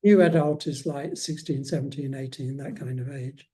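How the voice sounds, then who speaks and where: A man's voice: mid-range voice, normal speed, warm tone. A man speaking as a guest author in a casual interview, often hesitating and restarting his phrases.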